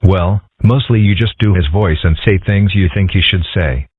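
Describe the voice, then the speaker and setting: A man voicing dry, understated nerdy schoolboys in an amateur comic-strip dub, with a husky voice. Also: low-pitched, normal speed, dry recording. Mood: dry, mild. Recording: muffled, phone-like lo-fi audio.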